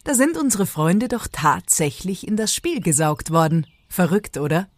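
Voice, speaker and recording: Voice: mid-range voice, very animated, normal speed. A narrator telling an audio drama tale in a playful tone, speaking directly to the listeners.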